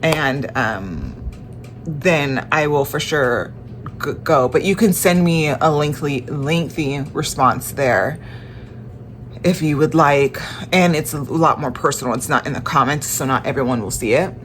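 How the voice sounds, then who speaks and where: A young woman speaks slowly in a low voice, her nose stuffy and congested. She talks in a halting, tired way, often restarting her sentences, as in a casual personal vlog. A faint room ambience sits behind her. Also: a little hiss or hum. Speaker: vlogger.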